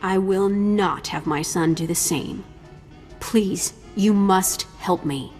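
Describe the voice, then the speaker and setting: A woman's voice: medium pitch, slow pace, darkish tone, soft background music. An actress playing a proud, scornful mother with formal, emphatic speech in a space fantasy video game. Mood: urgent. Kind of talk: video game cutscene voice acting.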